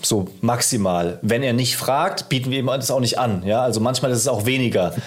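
A man's voice: medium pitch, brisk. A man in his thirties talking casually as a podcast host, explaining his thoughts in a reflective, conversational way. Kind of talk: casual chat between two dads.

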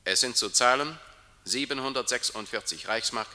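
An actor playing a court official who reads out a bill in a flat, bureaucratic way in a radio drama. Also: male voice, medium pitch, moderate pace, big-room sound. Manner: flat, bureaucratic reading of figures. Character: dry male court official reading a bill. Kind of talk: 1950s radio drama acting.

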